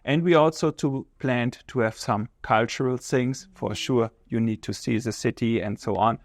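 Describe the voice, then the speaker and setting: A German-accented man answers interview questions in careful, measured English and pauses now and then to find the right word. His voice sits at an everyday middle pitch. The sound is dry and close, with no room echo. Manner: measured, explanatory, searching for words. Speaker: visiting professor.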